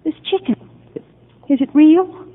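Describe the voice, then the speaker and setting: An actress playing a confused, fragile married psychiatric patient, hesitant and bewildered, in 1950 radio drama style. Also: female voice, sits high, unhurried. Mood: childlike, anxious. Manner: hesitant, bewildered, childlike questioning. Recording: muffled narrow-band 1950 radio broadcast.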